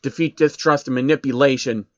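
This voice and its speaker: A man's voice: medium pitch, fairly even intonation, fairly quick, fairly bright tone, no room sound, dull audio, natural voice. A man voicing a grumpy, arrogant old billionaire villain with a snarling, pompous manner in a storybook reading. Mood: irritated. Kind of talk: storybook character voice in amateur fan fiction reading.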